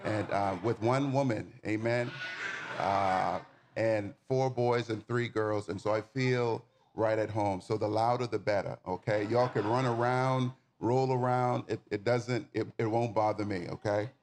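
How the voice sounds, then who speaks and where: A man's voice: fairly low pitch, steady tone, measured pace, a little mellow, slight background noise. A man in his forties from the Bahamas, giving a sermon as a guest preacher in an informal, folksy way, speaking directly to the congregation. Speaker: guest preacher and nonprofit community manager.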